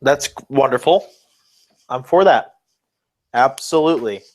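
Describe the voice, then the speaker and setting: Regular guy cohosting a podcast, medium pitch voice, just chatting and reacting off the cuff. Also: a little slow. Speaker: podcast co-host. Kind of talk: casual chat, off-the-cuff reactions.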